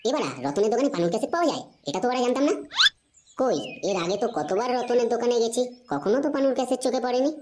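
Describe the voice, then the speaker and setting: A cheeky, mouthy little boy from a Bengali cartoon, acted by a woman. The delivery is rapid, suspicious and full of slang. Noise is clearly heard behind the voice. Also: fairly high pitch, expressive, lightly acted. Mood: puzzled, challenging. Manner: challenging, slangy, insolent. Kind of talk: Bengali comedy cartoon dubbing.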